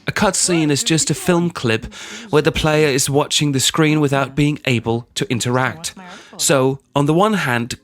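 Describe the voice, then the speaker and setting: A man's voice: a little high, fairly bright tone. A man hosting a video essay in scripted narration. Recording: music behind the voice. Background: background music.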